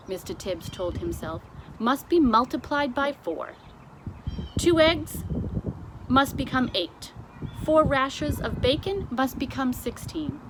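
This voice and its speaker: A woman reading a children's story aloud as scripted narration, with deliberate, expressive phrasing. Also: a little high. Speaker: storybook reader. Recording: clearly noisy amateur recording.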